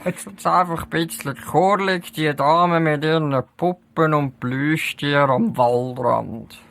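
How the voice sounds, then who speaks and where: A man's voice: on the high side, takes it slowly, creaky, a bit bright, full character voice. A man playing a cautious, practical police sidekick who grumbles a little, with a Swiss German accent, in a comic audio play. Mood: questioning.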